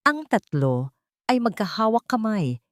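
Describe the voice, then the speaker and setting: A woman narrating a sentimental love story in a measured, solemn, dramatic way. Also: mid-range voice, big pitch swings, dry recording, studio quality.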